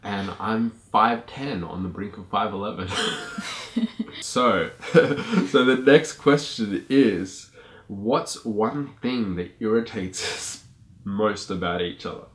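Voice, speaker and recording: A man's voice: fairly low pitch, takes it slowly. A man in his thirties co-hosting a casual question-and-answer vlog, laid-back and jokey, laughing often. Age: 30s. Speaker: vlogger, co-host with partner. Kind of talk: casual Q&A chat. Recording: echoey room.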